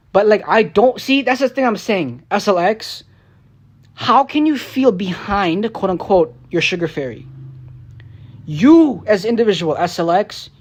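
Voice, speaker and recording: A young man, a streamer and rapper, ranting on a live stream in a rambling, profane, frustrated way. He drops into low mutters and then bursts out loud. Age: young adult. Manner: swings between low mutters and raised outbursts.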